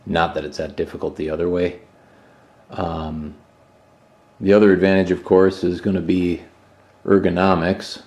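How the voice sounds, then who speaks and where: A man's voice: low voice, flat delivery, moderate pace, long pauses, darkish tone. A middle-aged man from Minnesota casually thinking aloud with fillers while working on a motorcycle in his home garage. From Minnesota, USA. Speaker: motorcycle builder and channel host. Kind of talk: casual workshop vlog. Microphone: camera mic, moving around the room. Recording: roomy garage, sometimes far from mic.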